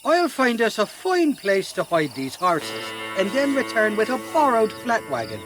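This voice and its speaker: High-pitched drunken Irish rogue. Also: male voice, wildly swooping pitch, unhurried, over music, exaggerated voice. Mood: scheming, bluffing. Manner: blustering, pleading, rambling. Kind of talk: game voice acting.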